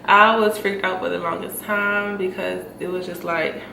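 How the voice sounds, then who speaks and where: A woman's voice: lowish voice, steady tone, moderate pace, creaky, pushed and bright. Chatty young woman, stuffy and breathless. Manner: chatty, lots of fillers and laughs. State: stuffy nose, short of breath. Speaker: vlogger. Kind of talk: casual chat to camera. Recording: echoey room, mic at a distance, noisy.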